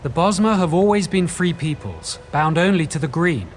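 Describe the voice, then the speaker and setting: An actor playing a scholarly, wary quest guide in a fantasy video game, speaking in a measured, formal, articulate way. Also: male voice, on the high side, lively intonation, slight background noise, lightly acted. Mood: measured, explanatory. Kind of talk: video game dialogue, explanatory. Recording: faint music behind.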